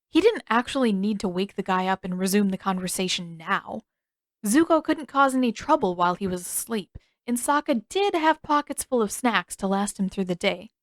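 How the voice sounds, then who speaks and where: A woman reading scripted fiction as an expressive audiobook narrator. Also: mid-range voice, no room sound. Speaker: narrator and author.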